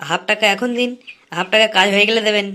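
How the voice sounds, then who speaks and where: A man's voice: high-pitched, fairly even intonation, racing, bright, forceful tone. A man voicing a cagey, money-minded young informer in a comic cartoon, wheedling and chatty. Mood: eager, bargaining.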